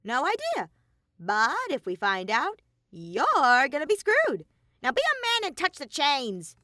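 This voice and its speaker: A mischievous cartoon robot bear villain acted out by a woman, high and piercingly bright. He is bossy and brash, snapping out orders with wildly swooping pitch, as a character in an audiobook. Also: wildly swooping pitch, a little slow, dry recording, clean studio sound, exaggerated voice. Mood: indignant, taunting.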